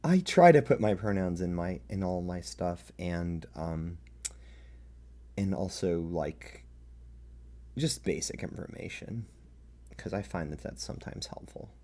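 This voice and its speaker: A man in his late thirties chatting casually and haltingly with livestream viewers, in a self-deprecating way. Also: expressive, warm tone. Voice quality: deep. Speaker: streamer reading own fan fiction.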